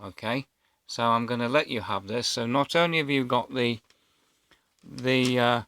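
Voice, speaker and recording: A man's voice: mid-range voice, a little slow, a bit bright. A man, telling a personal story casually to camera in a hesitant, rambling way. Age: 50s. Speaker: vlogger.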